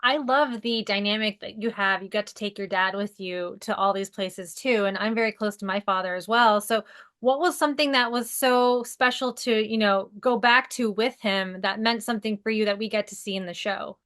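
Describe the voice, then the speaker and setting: A woman's voice: mid-range voice, steady tone, moderate pace, fairly bright tone. A woman interviewer asking enthusiastic, gushing questions.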